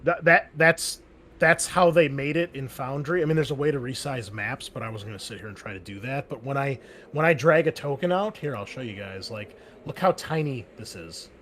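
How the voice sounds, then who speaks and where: A man's voice: medium pitch, a little fast, fairly bright tone, a little hiss or hum. A man serving as game master, talking loosely with lots of filler words. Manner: loose and chatty, full of "like" and "um" fillers. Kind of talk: running a tabletop game over a call.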